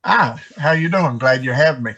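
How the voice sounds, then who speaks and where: A man from West Virginia talking casually as an interview guest, halting and often repeating his words. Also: mid-range voice, expressive, pushed and bright, husky, dull audio. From West Virginia, United States. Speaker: interview guest, amateur tournament angler.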